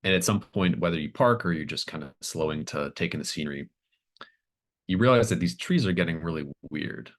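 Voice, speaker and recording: A man working as game master, improvising horror scene descriptions in a halting, thoughtful way. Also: low-pitched, expressive, a bit bright.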